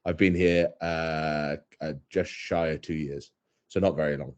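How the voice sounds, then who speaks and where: A man's voice: a bass voice, steady tone, measured pace. A young man, a guest on a podcast, talking casually in a thoughtful, hesitant way with many fillers and restarts. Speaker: head stalker, guest.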